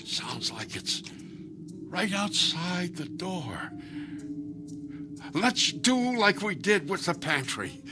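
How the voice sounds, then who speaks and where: An injured, gruff husband in a vintage television thriller. He is folksy and grumbling, with slow, dramatic speech and big pitch swings, over prominent background music. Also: big pitch swings, heavily acted. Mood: wary, hushed. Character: injured, gruff husband battling little monsters.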